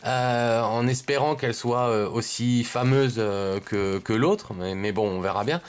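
A man's voice: mid-range voice, a little slow, clear, resonant tone. A man from giving casual, hesitant, rambling unboxing commentary indoors at a table. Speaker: video host, card collector.

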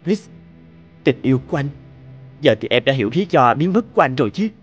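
A man voicing a devoted, romantic young boyfriend in an animated drama, speaking earnestly, tenderly and politely. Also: medium pitch, brisk, slightly rough, muffled recording, soft background music. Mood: devoted, solemn.

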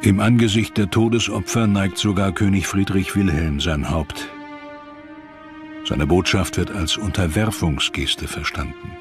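Deep-voiced male documentary narrator over music. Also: steady tone. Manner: measured, dramatic delivery. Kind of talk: scripted historical documentary narration. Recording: dramatic music behind the voice.

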